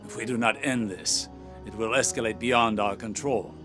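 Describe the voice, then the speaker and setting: A cool, stern mentor. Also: male voice, fairly low pitch, fairly even intonation, moderate pace, sharp tone, soft background music. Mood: urgent. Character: aloof male mentor-mage, cool and commanding. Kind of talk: video game cutscene dialogue. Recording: game score behind.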